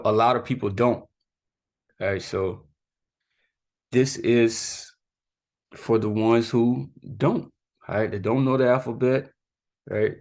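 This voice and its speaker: A man's voice: lowish voice, gravelly, a little mellow. A man giving a casual spoken lesson as a youth teacher, talking informally and often tagging "right?" and "you know" onto his sentences. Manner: laughs off his own mistakes.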